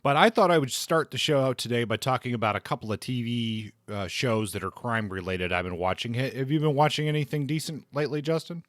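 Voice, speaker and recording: A man's voice: medium pitch, a little fast, hardly any pauses, fairly bright tone, no room sound. Male podcast host, casual and conversational reviewer, mid-range voice, very dry recording.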